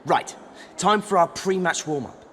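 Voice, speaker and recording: An actor playing an earnest, loyal teenage schoolboy hero in a video game. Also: male voice, high-pitched, a little slow, a bit bright, slight background noise. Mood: confident.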